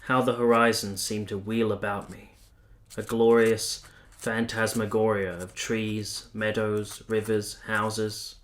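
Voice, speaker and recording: A man playing a romantic, reflective army captain in a radio play, speaking in a measured, sincere, literary way. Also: on the low side, level tone. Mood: reflective, poetic. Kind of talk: radio play acting, literary first-person narration.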